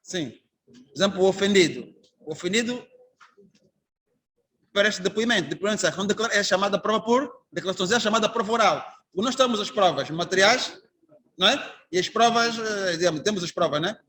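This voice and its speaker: Angolan man, high-pitched judge-trainer, conversational lecture full of rhetorical questions. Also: a little fast, long pauses, a bit bright. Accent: Angolan Portuguese. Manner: conversational, anecdotal, asks the class rhetorical questions. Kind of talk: informal lecture to trainee magistrates.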